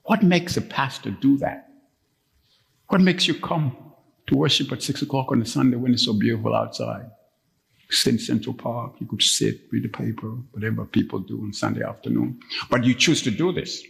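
A middle-aged man from Uganda preaching a sermon as pastor, telling stories and jumping up to high, emphatic peaks when stirred. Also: lively intonation, moderate pace, creaky, long pauses. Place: church. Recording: long reverb of a church.

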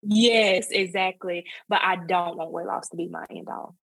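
A woman's voice: lowish voice, steady tone, moderate pace, creaky, fairly bright tone, husky, dry recording. A woman speaking as a guest in an interview, chatting loosely and filling her talk with "um" and "you know". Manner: rambling, full of fillers like "um" and "you know". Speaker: guest, content creator. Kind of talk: casual interview chat.

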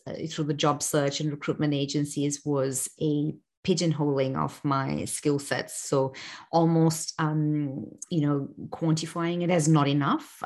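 A woman's voice: sits low, a little mellow. A woman in her forties from South Africa, speaking thoughtfully as an interview guest, with frequent fillers and restarts. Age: 40s. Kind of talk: reflective personal interview.

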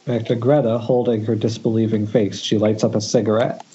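A man's voice: on the low side, little pitch movement, a little fast, dark tone. A man reading stage directions as narrator at an amateur table read, recorded over a muffled internet call.

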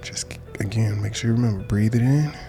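Low-pitched man guiding meditation over music. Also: brisk. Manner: casual, colloquial, encouraging. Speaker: meditation guide. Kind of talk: guided meditation with informal prayer. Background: music behind the voice.

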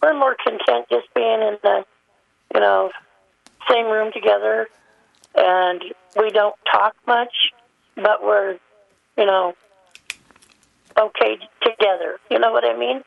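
Gravelly woman caller on a tinny phone line. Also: mid-range voice, slow pace, pauses often, no echo. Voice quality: scratchy, gravelly voice. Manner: soft-spoken, brief answers. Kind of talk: call-in conversation. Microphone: telephone.

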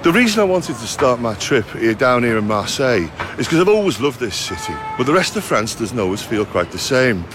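A man's voice: mid-range voice, big pitch swings, a little fast, few pauses, background noise. Chatty Scouse man presenting a travel show. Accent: Liverpool (Scouse). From Liverpool, England. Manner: chatty, direct address to viewers. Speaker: documentary presenter.

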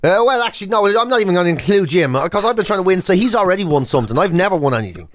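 A man's voice: high voice, big pitch swings, very fast, no echo. A middle-aged Irish man bantering comically as a radio co-host, self-deprecating and complaining with emphatic repetition. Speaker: radio show co-host and comedian.

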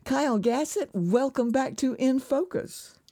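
Woman interviewing guests on radio, mellow voice, calm measured asks. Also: sits high. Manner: friendly, measured questions.

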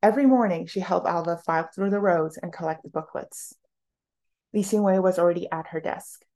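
A woman's voice: lowish voice, brisk, warm tone. A woman author reading literary prose aloud from her own novel in a narrative style.

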